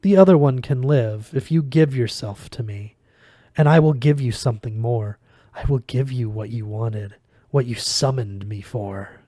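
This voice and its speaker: A man narrating as a cruel fox god with a French accent. He speaks in measured, formal sentences, dismissive and condescending toward mortals. The sound is dry and close, in a storybook reading. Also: mid-range voice, warm tone, no room sound, lightly acted. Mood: condescending, menacing.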